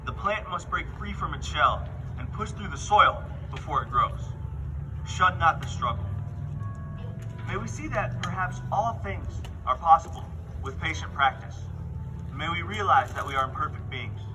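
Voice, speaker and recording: A man giving an earnest, measured prepared speech at a rally outdoors. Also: a little high, expressive, far from the mic, noisy background. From Worthington. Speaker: speaker at a justice rally.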